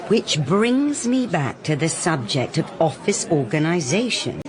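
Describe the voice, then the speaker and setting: A woman's voice: low-pitched, big pitch swings, a little slow, crowd noise. An actress playing a practical, sardonic English woman detective in a radio drama, with a dry, wry delivery. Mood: exasperated, dry. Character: Susan Dervish, dry practical sardonic female private detective.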